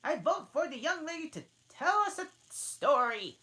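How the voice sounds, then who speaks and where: A woman voicing a loud, pushy male hare in a storybook reading. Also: sing-song, moderate pace, heavily acted. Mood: bossy. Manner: loud, shrill.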